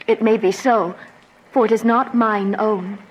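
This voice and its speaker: A woman's voice: medium pitch, fairly even intonation, measured pace, muffled recording, a little hiss or hum, natural voice. An actress playing a passionate, desperate young noblewoman in a Shakespearean radio drama, delivering verse formally and dramatically. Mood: guarded.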